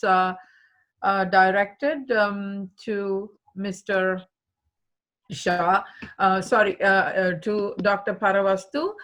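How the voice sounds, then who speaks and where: A woman's voice: medium pitch, a bit bright. Polite woman moderating a webinar. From India. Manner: polite, repeats thanks.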